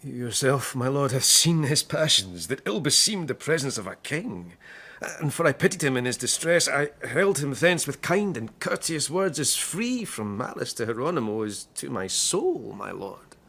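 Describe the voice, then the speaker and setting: A man's voice: medium pitch, sing-song, moderate pace, creaky, bright, forceful tone, slightly rough, exaggerated voice. An actor in a classical verse radio drama playing a scheming young nobleman, courteous and persuasive. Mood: smoothly defensive. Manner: courteous, persuasive, self-justifying. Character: smooth, scheming young nobleman, a duke's son.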